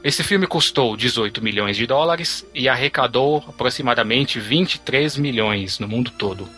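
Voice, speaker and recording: A man's voice: medium pitch, normal speed, sharp tone, soft background music. A man hosting a film podcast, reading the credits and steering the talk with questions. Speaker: podcast host. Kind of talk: casual podcast discussion.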